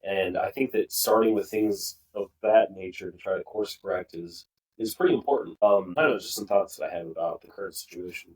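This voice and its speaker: Young male podcast co-host, low-ish voice, earnest and rambling, a bit off-mic. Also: a little fast, a little mellow, recorded from a distance, low-quality recording. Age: young adult. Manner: earnest, opinionated, rambling with restarts. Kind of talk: casual political discussion.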